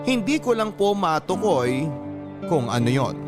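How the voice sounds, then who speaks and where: A man's voice: high-pitched, big pitch swings, measured pace. A man with a Filipino accent reading a listener's letter as a radio host, in dramatic scripted narration. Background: music.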